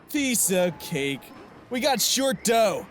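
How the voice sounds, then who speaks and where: A man's voice: high-pitched, fairly bright tone, a little hiss or hum, full character voice. A man playing a cocky, taunting young street thug in an anime-style audio drama, with a sneering manner.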